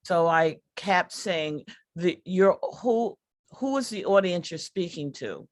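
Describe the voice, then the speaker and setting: A woman reflecting as a workshop artist on a video call, speaking in emphatic, halting phrases. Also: on the low side, animated, no echo. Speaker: workshop artist leading the project. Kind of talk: reflective discussion.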